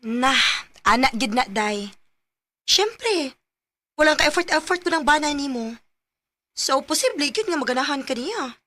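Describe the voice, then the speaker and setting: Radio drama role: nosy Cebuano Filipino female confidante, high voice, teasing matchmaker manner. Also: lively intonation, unhurried, pauses often, sharp tone, exaggerated voice. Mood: teasing, prying. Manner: teasing, prodding. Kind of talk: radio drama acting in Bisaya.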